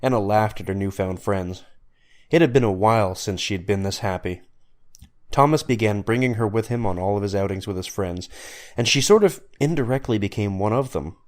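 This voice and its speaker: A man's voice: fairly low pitch, a little fast. A man reading scripted story narration as the narrator.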